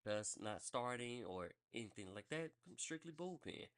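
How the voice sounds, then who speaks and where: A man's voice: mid-range voice, lively intonation, moderate pace, fairly bright tone, clear, resonant tone. A man hosting a sports podcast, reading baseball news in a loose, rambling way and correcting himself as he goes. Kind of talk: casual sports news rundown.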